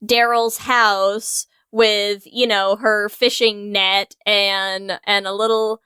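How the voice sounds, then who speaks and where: A woman with a very bright, crisp voice in a dry, close recording. She chats casually as a podcast host, wry and given to blunt, exaggerated jokes. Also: medium pitch, little pitch movement, slow, no room sound. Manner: wry, crude, hyperbolic humour. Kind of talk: casual chat.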